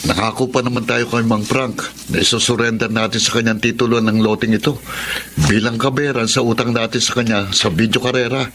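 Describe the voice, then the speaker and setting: A man's voice: mid-range voice, fairly even intonation, a little fast, close to an everyday voice. A man playing a scheming, indebted elder brother with a Filipino accent in a radio drama, urgent and conspiratorial. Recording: clearly noisy drama recording.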